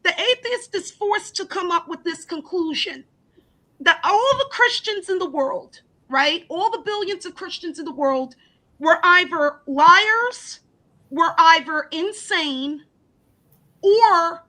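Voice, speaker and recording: A woman caller arguing loudly and insistently in a live chat, often restarting and repeating her words. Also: very high voice, lively intonation, slow pace, poor audio. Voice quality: loud projecting voice. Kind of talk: heated debate on a live chat.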